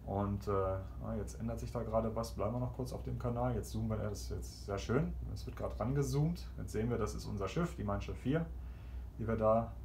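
A man with a fairly low voice talks casually through what he is showing, explaining and improvising as he goes. He is recorded on a handheld camera in a small cabin, with clear ambient noise behind him. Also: fairly quick, darkish tone. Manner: explanatory, improvising while operating the screen. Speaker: travel vlogger presenting a cabin TV system. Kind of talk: casual unscripted walkthrough commentary. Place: small ship cabin indoors. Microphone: handheld camera or phone, behind the lens. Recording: clear background room noise.

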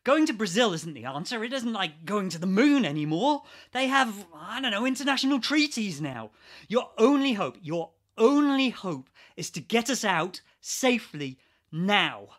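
A man's voice: high-pitched, big pitch swings, normal speed, fairly bright tone. A man in a radio play acting a sardonic, sweary, exasperated geology researcher who is trapped and argumentative. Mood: desperate pleading.

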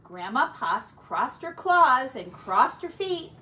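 A woman reads a children's story aloud like a teacher, in a high and unhurried voice. She leans into dramatic words and adds small asides for her listeners. She sounds a little way from the mic. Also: big pitch swings, sharp tone. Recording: slightly distant mic, faint background noise.

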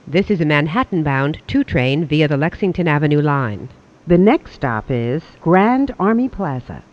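A transit announcer reading scripted subway train announcements in a formal, measured way. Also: medium pitch, very animated, a little hiss or hum. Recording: dull, lo-fi audio.

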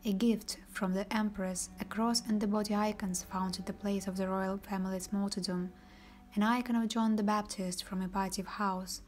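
A woman giving scripted documentary narration in an even, measured reading voice, recorded as a studio voice-over. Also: mid-range voice.

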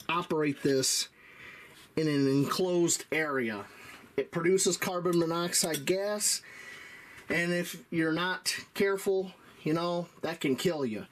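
The man at the workbench in an online gear review, slowly and plainly walking viewers through each part in a folksy way. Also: on the high side, a little hiss or hum. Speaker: product reviewer. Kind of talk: casual hands-on product review.

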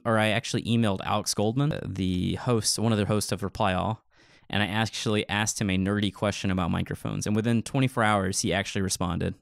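A man's voice: fairly low pitch, gravelly, dry recording, studio quality. A man co-hosting a podcast in casual chat, telling stories in an informal, filler-heavy way. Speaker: podcast co-host.

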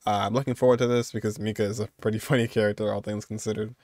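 A man's voice: fairly low pitch, flat delivery, very fast, darkish tone, no echo, clean studio sound. A man, giving informal, amused reaction commentary as a streamer. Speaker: streamer reacting to game videos. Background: faint music.

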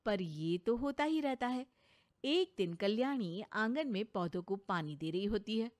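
A woman's voice: mid-range voice, animated, measured pace. A woman narrator telling a scripted cartoon story.

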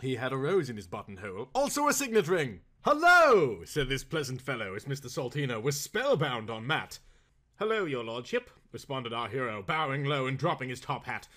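A man reading a comic novel aloud as an expressive storybook narrator. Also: mid-range voice, a little fast, few pauses.

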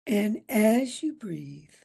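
A woman guides a meditation in a slow, relaxed voice. Her tone is breathy and a little husky, and she leaves long gaps between short, soothing phrases. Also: medium pitch. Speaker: meditation instructor.

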